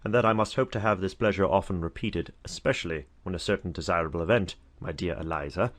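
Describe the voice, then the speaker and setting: A man playing an effusive, courtly older Regency knight with a flattering, pompous manner in a dramatized audiobook. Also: sits low, quick pace.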